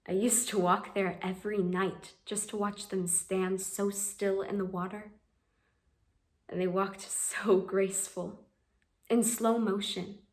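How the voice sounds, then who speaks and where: A woman performing a monologue as a plainspoken young woman haunted by a cruel memory, telling it in simple words. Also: medium pitch. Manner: plainspoken storytelling, builds to screams. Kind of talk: audition monologue.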